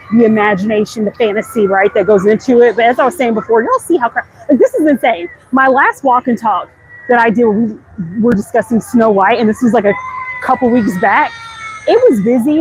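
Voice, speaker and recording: High-voiced woman livestreaming over outdoor noise. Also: poor audio. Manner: candid, conversational, emphatic, addressing viewers directly. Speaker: content creator hosting a live walk-and-talk stream. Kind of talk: casual chatty walking livestream commentary. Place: outdoors, walking through a busy theme park. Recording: outdoor crowd and ride noise. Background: park ambience, passing ride noise.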